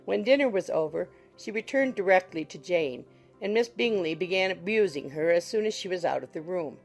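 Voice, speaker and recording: A woman's voice: fairly low pitch, expressive, fairly quick, gravelly, low-quality recording. A woman reading scripted literary narration as an audiobook narrator. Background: music.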